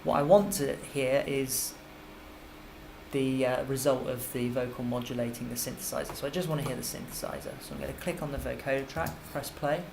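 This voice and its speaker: A man giving an informal, step-by-step software tutorial as a music technology teacher, explaining as he goes. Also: medium pitch, fairly even intonation, fairly quick. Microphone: computer microphone. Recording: clearly noisy computer microphone recording.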